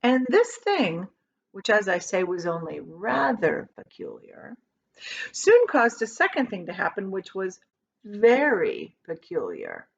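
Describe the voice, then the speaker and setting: Woman, children's read-aloud, teacherly storytelling, animated pitch, dramatic emphasis, drawn-out key words, slightly muffled lo-fi sound. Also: vocal fry, slightly rough.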